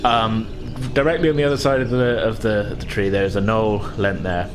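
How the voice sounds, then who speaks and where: A man running a tabletop game, narrating casually in halting, searching descriptions, with music behind him. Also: fairly low pitch, fairly even intonation. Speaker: game master.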